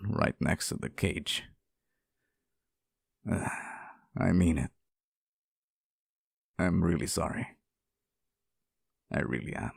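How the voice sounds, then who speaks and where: A man's voice: low-pitched, gravelly, darkish tone, no room sound, clean studio sound, heavily acted. A man playing a sweet, clingy, centuries-old sealed demon in an intimate ASMR roleplay, speaking tenderly and hesitantly to one listener. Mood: apologetic.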